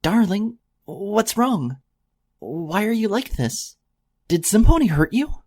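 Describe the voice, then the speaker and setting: A man voicing a kind, refined grown mare pony who fusses over a stranger in a gentle, breathy manner. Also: sits high, big pitch swings, takes it slowly, voice pushed up, exaggerated voice. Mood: alarmed. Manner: gentle, fussing, breathy whispers.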